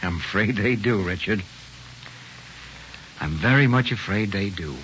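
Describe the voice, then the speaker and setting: An actor playing a kindly, wry middle-aged American father, measured and folksy, in old radio drama style. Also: fairly low pitch, animated. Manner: measured, folksy, gently amused. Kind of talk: 1950s radio drama acting. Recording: muffled old radio broadcast, faint noise.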